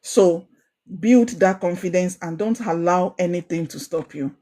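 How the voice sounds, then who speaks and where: A woman's voice: lowish voice, unhurried. Encouraging Nigerian woman coach. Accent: Nigerian, Ijesha Yoruba. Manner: animated, encouraging, repeats phrases for emphasis. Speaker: coach of a women's group. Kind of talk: online course talk.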